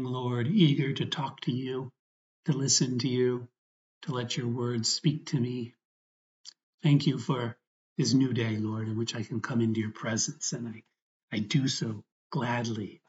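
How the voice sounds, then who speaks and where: Dull-sounding recording of a reverent man praying. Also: medium pitch. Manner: reverent, earnest, unhurried phrases with pauses. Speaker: priest guiding meditation. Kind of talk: guided prayer, spoken meditation and gospel reading.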